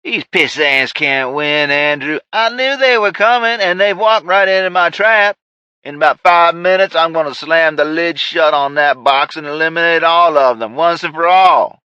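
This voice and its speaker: An unhinged crime boss shrieks and gloats, his voice pitched far up and thin. The sound is dry and a little dull, like a home audiobook recording. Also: normal speed, clear, resonant tone, dry recording. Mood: unhinged shouting. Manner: shrill, shouting, gloating.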